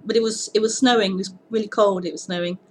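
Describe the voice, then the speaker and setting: A woman hosting a live-streamed non-duality sitting, speaking earnestly in halting phrases, often repeating words and breaking into laughter. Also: medium pitch, steady tone, slight background noise. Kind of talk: live-streamed spiritual talk and Q&A.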